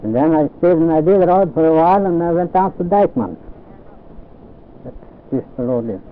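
A man in his seventies, recalling his early years in a halting, plain-spoken way during an oral history interview. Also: fairly high pitch, expressive. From Norway. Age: 70s. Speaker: interviewee, old-time pioneer. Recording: tinny old tape with faint hiss.

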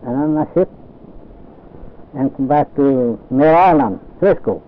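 A man in his seventies, recalling his early years in a halting, plain-spoken way during an oral history interview. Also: mid-range voice. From Norway. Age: 70s. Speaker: interviewee, old-time pioneer. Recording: tinny old tape with faint hiss.

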